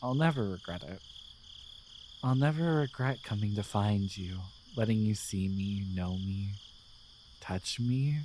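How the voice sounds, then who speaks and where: A man playing a gentle, devoted guardian angel in a romantic ASMR roleplay, speaking in a tender, hesitant, reassuring way. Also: on the low side, measured pace, slight background noise, lightly acted. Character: gentle, devoted male guardian angel in love with his human.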